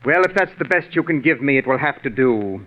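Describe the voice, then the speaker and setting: An actor playing a pompous, coldly sarcastic, tyrannical radio director in 1940s radio drama style, with a haughty, deliberate, condescending manner. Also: male voice, mid-range voice, expressive, lightly acted. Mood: exasperated. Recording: muffled, phone-thin 1940s radio recording.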